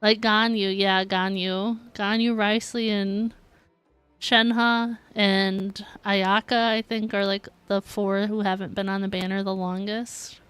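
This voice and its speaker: Smooth-voiced woman streaming. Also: medium pitch, little pitch movement, slow pace, fairly bright tone, no echo. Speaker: game streamer. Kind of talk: casual chat with stream viewers. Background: game music.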